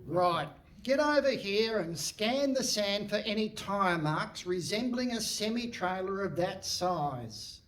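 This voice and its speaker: Man performing a pompous police inspector, high voice, curt, impatient, comic radio theatre, slight background noise. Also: expressive, slow, a bit bright, full character voice. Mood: bossy, pompous. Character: bossy, impatient, exasperated male police inspector. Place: hall stage before live audience.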